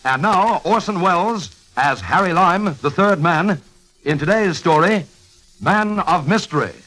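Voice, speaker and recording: A man's voice: sits high, measured pace, rough recording. A man announcing a vintage radio show in a formal, declamatory style. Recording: loud music under the voice. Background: loud zither music.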